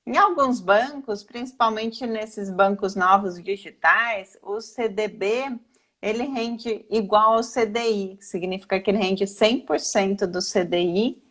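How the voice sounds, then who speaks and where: A woman with a Brazilian accent speaks at a middle pitch. She explains in an informal, teacherly way, often asking a question and then answering it herself, as an expert talking to a live online audience. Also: measured pace, fairly bright tone. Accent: Brazilian Portuguese. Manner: didactic, poses questions and answers them herself, acts out sample replies.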